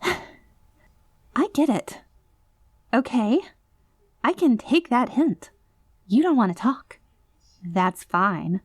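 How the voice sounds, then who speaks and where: Yandere girlfriend for audio roleplay, played by a woman: mid-pitched, dry sound, saccharine yet threatening, obsessive, theatrical. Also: a little mellow, no room sound. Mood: tense, threatening. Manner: saccharine pet names laced with threats, theatrical. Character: obsessive possessive yandere girlfriend, sweetly menacing, long reformed, unraveling. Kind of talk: scripted ASMR roleplay audio drama, voicemail monologue.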